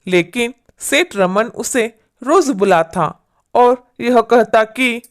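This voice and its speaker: A woman's voice: fairly high pitch, takes it slowly. A woman narrating a scripted moral story as its storyteller.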